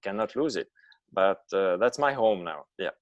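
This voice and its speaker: Low-voiced man with a foreign accent. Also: lively intonation, no echo, dull audio. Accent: foreign accent in English. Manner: halting, non-native phrasing with many uh pauses. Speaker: guest, long-distance ocean swimmer. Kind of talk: relaxed interview answers.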